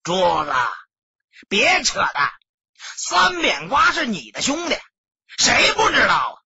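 A man voicing a menacing, extorting gang boss in an audiobook, raspy and forceful. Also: very high-pitched, huge pitch swings, takes it slowly, highs cut off, noisy background, pitched above the performer's own voice, full character voice. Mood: angry, accusing. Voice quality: raspy, sharp. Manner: forceful, bullying.

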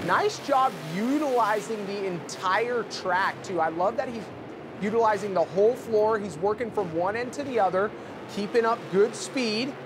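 A man doing excitable color commentary at a motorsport show, urging the drivers on. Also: sits high, moderate pace, noisy background. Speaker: sports commentator. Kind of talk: arena sports commentary.

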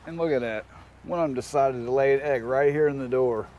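Guy vlogging outside in his yard, regular pitched voice, casual slangy talk, some background noise. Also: a little fast, warm tone. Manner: informal, slangy, folksy. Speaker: homesteader vlogger, host. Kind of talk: casual vlog chat, talking to viewers and animals. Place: outdoors in a backyard chicken run. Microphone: handheld camera. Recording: noisy outdoor handheld camera audio.

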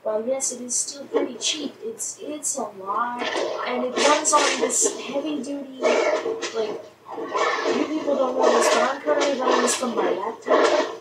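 A woman's voice: sits high, moderate pace. A woman chatting casually while playing a video game, rambling and frank with some mild swearing. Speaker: gamer recording a let's play. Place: indoor room at home beside a TV. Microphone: shared microphone set between two players. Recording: distant mic, echoey room, loud noise.

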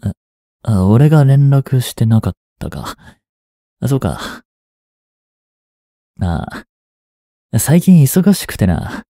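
A man in his twenties playing a reluctant, guilt-ridden young hitman in an audio drama, softly spoken and halting. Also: medium pitch, very animated, dry recording, clean studio sound. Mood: feigned casual. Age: 20s. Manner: halting, subdued delivery. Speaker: voice actor. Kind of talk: Japanese audio drama monologue and dialogue.

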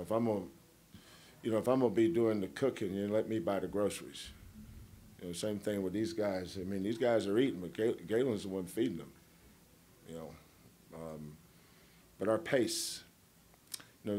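A man's voice: on the low side, darkish tone. A man, a head basketball coach, answering questions at a postgame press conference in a folksy, rambling way, full of homespun analogies and teasing.

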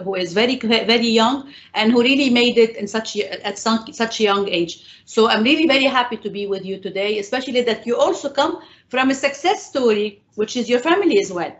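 A middle-aged woman hosting an interview, praising her guest and repeating herself as she searches for words. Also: a little high. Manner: warm praise, lots of repeated words and restarts. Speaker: interviewer, host. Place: indoors, room with framed painting. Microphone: lapel mic. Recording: muffled video call audio.

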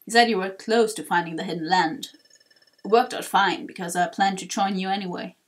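A woman's voice: mid-range voice, fairly even intonation, fairly quick, voice pushed down, natural voice. A woman voicing a cool, serious male gecko creature in a video game, terse and matter-of-fact. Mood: calm, matter-of-fact.

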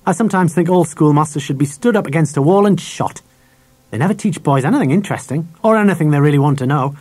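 A man's voice: on the high side, expressive, quick pace, a little mellow, husky, voice pushed up. A man voicing a talkative, opinionated middle-aged schoolmaster in an audiobook, with an emphatic teacherly manner. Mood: chatty opinionated.

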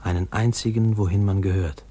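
An actor playing a wistful man narrating his boyhood memories in a measured, literary 1950s radio drama style. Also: low-pitched, steady tone, dark tone, slightly rough. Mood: wistful, lyrical. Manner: reflective, measured storytelling.

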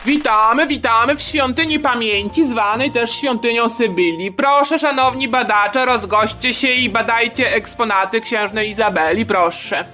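A woman's voice: on the high side, moderate pace, hardly any pauses, pushed and bright, soft background music. A woman playing an eager, theatrical, easily flustered museum guide in a comic history sketch. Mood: welcoming. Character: effusive, flustered museum guide welcoming researchers.

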